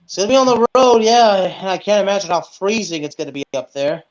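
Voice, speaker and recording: A man co-hosting a sports podcast in casual chat, speaking haltingly and repeating words as he goes. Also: high-pitched. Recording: dull, lo-fi sound.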